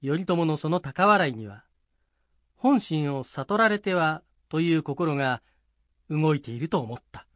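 Brief: male narrator for a scripted historical tale, slightly high voice, dry close recording. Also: lively intonation, a little slow, fairly bright tone, no echo.

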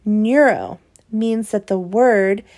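A woman's voice: medium pitch, slow pace, a little mellow. A woman reading a children's picture book aloud, explaining it expressively to young listeners. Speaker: read-aloud presenter.

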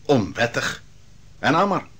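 An actor playing a young prophet who sharply questions witnesses and challenges a judge in an old radio drama. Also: male voice, medium pitch, very animated, unhurried. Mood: commanding. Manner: sharp, commanding, interrogating. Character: young prophet defending the accused, commanding. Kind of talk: 1960s Dutch radio drama. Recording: reverberant old radio recording.

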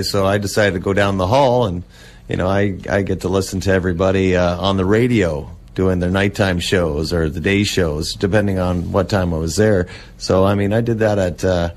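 A man's voice: low-pitched, darkish tone, a little hiss or hum. A man in his forties chatting casually as an interview guest, reminiscing in rambling, loosely strung sentences. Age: 40s. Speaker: interview guest, old-time radio collector.

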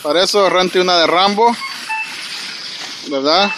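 A man's voice: sits high, unhurried. A man, narrating a casual walking vlog outdoors on a phone, chatty and speaking straight to his viewers. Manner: chatty, addresses viewers directly, frequent "¿verdad?". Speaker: vlogger walking and filming. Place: snowy city street outdoors. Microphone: handheld phone camera. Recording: outdoor street noise, passing traffic.

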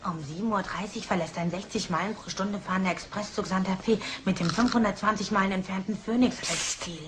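A woman's voice: mid-range voice, a little fast, background noise, natural voice. A woman playing a cheeky, sarcastic cartoon boy of ten, cocky and wisecracking. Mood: reciting.

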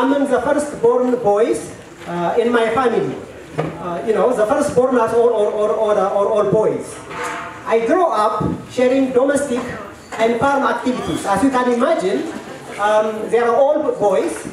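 A middle-aged Ethiopian man telling a personal story to an audience on a handheld mic, halting, with many fillers and repeated words. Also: very high voice, darkish tone, a little hiss or hum. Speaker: storyteller at a work meeting. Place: large tent at an event. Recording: echoey tent, mic at a distance.

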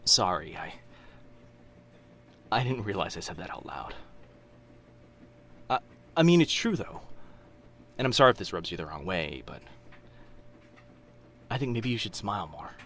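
A young man playing a teasing, kind-hearted telepath in a boyfriend ASMR roleplay, with hesitant, chatty talk and soft asides. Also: on the low side, sing-song, a little slow, long pauses. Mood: friendly, awkward. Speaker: voice actor in audio roleplay.

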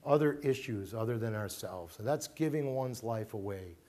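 A man's voice: on the low side, expressive, normal speed, dark tone. A middle-aged man, a pastor giving an informal family talk to his congregation in a small church, chatty, with fillers, restarts and emphatic repeats. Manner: conversational, many fillers and restarts, emphatic repetitions. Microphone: handheld mic, far camera.

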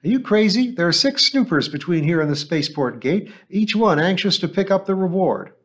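A man's voice: expressive, fairly quick, a bit bright. A male audiobook narrator voicing a shrewd, anxious old woman shopkeeper who complains and dodges questions. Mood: alarmed. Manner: shrill, complaining, evasive.

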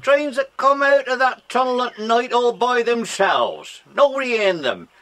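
A man's voice: very high voice, lively intonation, a little slow, pushed and bright, full character voice. An actor playing a cranky, spooked old railway watchman with a muttering, erratic manner in a children's audio drama. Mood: spooked, hostile. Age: older.

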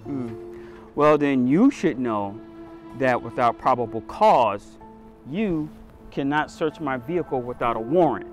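A man playing a middle-aged police captain, calm, shrewd and skeptical, in a scripted short drama. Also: mid-range voice, faint music behind, close to an everyday voice. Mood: wary, skeptical. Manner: measured, skeptical. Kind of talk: scripted short-film acting. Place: outdoors in a parking lot.

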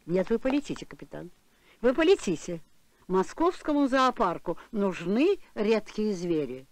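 A woman's voice: mid-range voice, big pitch swings, measured pace, a little mellow. A woman voicing a fussy, insistent elderly grandmother in a Soviet cartoon, with an imperious manner. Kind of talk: Soviet animated film voice acting.

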